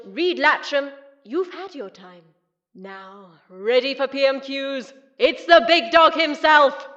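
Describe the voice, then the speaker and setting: An actress playing a bossy, irreverent Speaker of Parliament, announcing loudly and commandingly in an audio drama. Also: female voice, high voice, dull audio, exaggerated voice.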